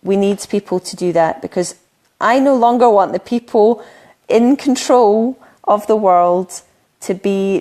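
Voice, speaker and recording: A middle-aged woman from the UK, a spiritual coach on a solo live stream, talking earnestly with many ums and restarts, her voice a little croaky from talking a lot. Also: on the low side, mellow.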